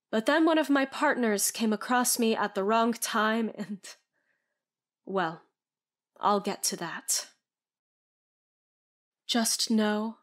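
Woman acting tormented lady vampire ex-fed, slow deliberate gothic audio drama narration. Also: mid-range voice, lightly acted. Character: anguished female vampire, former FBI agent, confessing her curse.

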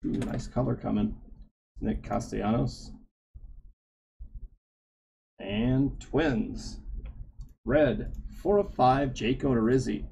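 Gravelly slow-talking man reading names. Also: dark tone, slight background noise, rough recording. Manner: reads names in a low running murmur. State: slightly hoarse. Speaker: card break host. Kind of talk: live card-breaking stream. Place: small card shop studio.

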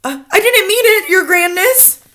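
A woman's voice: extremely high pitch, animated, moderate pace, pushed and bright, pitched above the performer's own voice, exaggerated voice. A woman voicing a terrified, wretched underling witch in a storybook, crying out and begging. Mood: frightened, apologetic.

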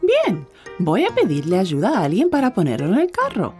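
A woman voicing a kindly, cheerful young mother in a children's cartoon, gentle and expressive. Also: medium pitch, moderate pace, soft background music.

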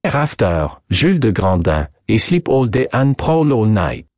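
A man voicing a theatrical, excitable French detective with a French accent, gallant manners and broken English in a story reading. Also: fairly low pitch, normal speed, no echo. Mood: triumphant, intense.

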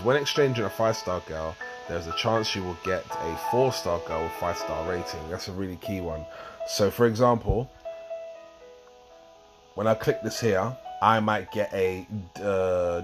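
A man hosting a gaming channel, talking through a mobile game tutorial in a casual, rambling way with a slightly croaky voice. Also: deep voice. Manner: rambling, explains step by step with fillers.

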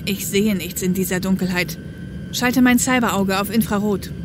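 A woman's voice: medium pitch, moderate pace, noisy background. A woman playing a tense police helicopter copilot in a German audio drama, with echo on the voice. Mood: focused. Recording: echoey sound with music behind.

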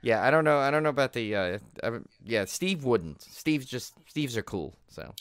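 A man's voice: mid-range voice, normal speed, clear, resonant tone, no echo. A man co-hosting a casual podcast on horror releases, rambling and joking. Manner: rambling, jokey, lively. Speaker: podcast co-host.

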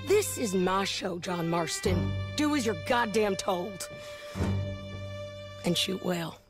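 A woman's voice: medium pitch, expressive, slow, music in the background. A woman acting a tough, reckless, bitter bounty hunter of the Old West in a video game, blunt and commanding, with an American accent. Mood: determined.

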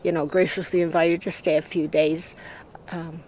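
A woman's voice: low-pitched, flat delivery, normal speed, a little mellow, a little hiss or hum. An older woman who makes RV travel videos, talking casually to the camera outdoors. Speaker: RV travel vlogger. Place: outdoors at a campground.

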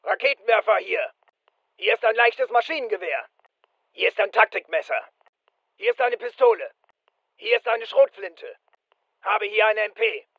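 A brisk fireteam soldier in a military video game. The voice is very high, with clipped, businesslike delivery. The recording is dry and slightly dull. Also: male voice, expressive, dry recording. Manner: clipped, matter-of-fact. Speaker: game voice actor.

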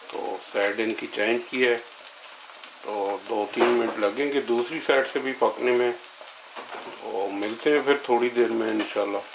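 A man's voice: fairly low pitch, noisy background. A middle-aged man, explaining a recipe step by step in a casual, friendly way in his home kitchen. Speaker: home cook. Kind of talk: casual cooking vlog. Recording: echoey kitchen.

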